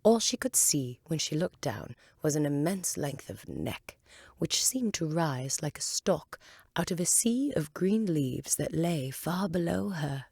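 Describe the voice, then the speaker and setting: Animated low-voiced woman reading a story. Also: measured pace, gravelly, breathy edge, dry recording, studio quality. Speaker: volunteer story reader. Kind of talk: expressive children's story narration.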